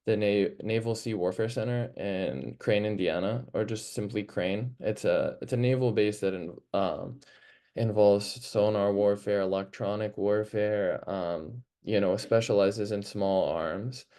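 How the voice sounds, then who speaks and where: A young man from Palestine, a student organizer, speaking earnestly on an online panel, halting often with fillers and restarts. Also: fairly low pitch, dark tone. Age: young adult. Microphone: video call.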